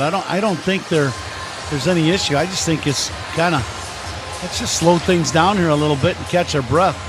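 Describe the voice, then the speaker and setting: An American man giving conversational, explanatory color commentary on a live baseball radio broadcast. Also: on the high side. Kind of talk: live radio game analysis. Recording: crowd ambience noise behind broadcast. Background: ballpark ambience noise.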